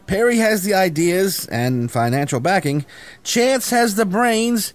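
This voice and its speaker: Casting: male actor as boastful ringleader of misfit schemers, comedy radio drama, highish voice with lively swings. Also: a little slow, no echo. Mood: boastful, persuasive. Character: cocky, grandiose schemer, self-appointed leader of misfit would-be conquerors.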